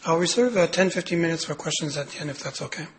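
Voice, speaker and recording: A man with a raspy voice, hoarse from a cold, giving a clinical lecture with many pauses and fillers. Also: on the high side, a little hiss or hum. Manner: hesitant, many fillers and restarts. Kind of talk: medical lecture.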